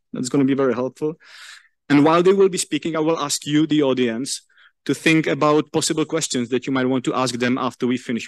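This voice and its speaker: A man's voice: mid-range voice, no echo. A man chairing an academic roundtable, setting out the speaking order and giving instructions in an organized way with some restarts. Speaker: panel chair. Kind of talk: introducing a roundtable. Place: large lecture hall.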